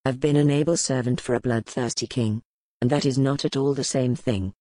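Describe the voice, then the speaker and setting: A woman voicing a grim, powerful male duke, speaking low, measured and brooding in an audiobook. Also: fairly even intonation, mellow, dry recording. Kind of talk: audiobook character voice.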